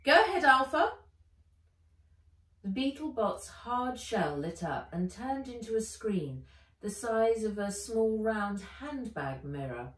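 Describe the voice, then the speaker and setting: Slow, expressive woman storyteller in roomy space. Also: mid-range voice. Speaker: author reading own book aloud. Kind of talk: expressive children's storybook narration. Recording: roomy echo, a little far from mic.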